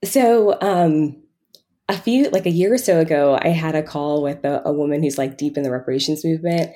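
A woman giving reflective answers as an interview guest, speaking in a halting, conversational way as she works out her thoughts. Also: sits low, warm tone. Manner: halting, thinking aloud, frequent hedging with "kind of" and "like". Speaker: podcast guest, financial coach.

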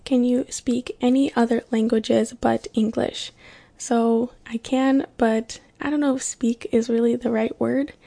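Young woman with a creaky, flat voice. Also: on the high side, unhurried. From born in Kenya, raised in California. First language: Swahili. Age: young adult. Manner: chatty, relaxed, filler-heavy. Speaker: podcast host. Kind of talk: casual solo podcast chat.

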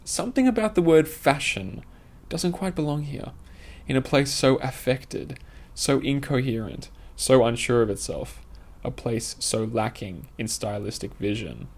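A man's voice: medium pitch, expressive, measured pace. A man reading his own scripted essay aloud, in a formal, literary and opinionated manner.

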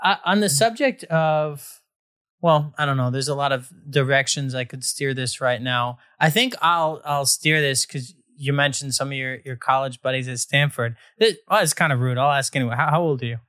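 Bright-voiced joking young man. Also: mid-range voice, normal speed, no room sound, studio quality. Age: 20s. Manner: joking, rambling, lots of fillers. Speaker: podcast host. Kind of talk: casual conversational interview.

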